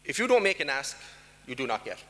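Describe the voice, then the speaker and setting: An Irish man speaks from the stage of a large conference hall, his bright, forceful voice trailing a long reverb. He drives his points home with emphasis and keeps putting questions to the room. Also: mid-range voice, sing-song, brisk. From Ireland. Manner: emphatic, rhetorical questions to the audience. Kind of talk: conference talk.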